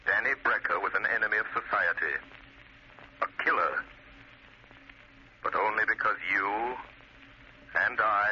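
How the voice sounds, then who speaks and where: An actor playing a stern, hypnotic crime-fighting avenger in a 1930s radio drama, speaking with deliberate, solemn command. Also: male voice, lowish voice, sharp tone, heavily acted. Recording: noisy, muffled vintage radio recording.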